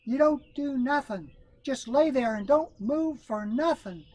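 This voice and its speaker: A man's voice: very high-pitched, expressive, a little slow, pitched above the performer's own voice, full character voice. A man voicing a sly, confident male rabbit trickster in a folk tale, drawling and boastful. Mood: scheming.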